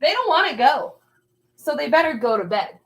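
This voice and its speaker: A woman's voice: high voice, sing-song, moderate pace, fairly bright tone. Young female streamer, chatty and meandering, reads chat aloud. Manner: rambling, trails off searching for words. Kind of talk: casual livestream chat.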